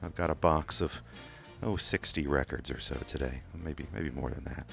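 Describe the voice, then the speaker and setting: A very deep-voiced man with a Kentucky accent hosts a community radio show from the studio. He talks in a loose, rambling way, full of "uh"s and restarts, like he is chatting between records. Faint music sits low behind his voice. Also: moderate pace, creaky. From England. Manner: relaxed, rambling, many "uh" fillers and restarts. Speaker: radio DJ host. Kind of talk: casual radio DJ talk. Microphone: studio microphone.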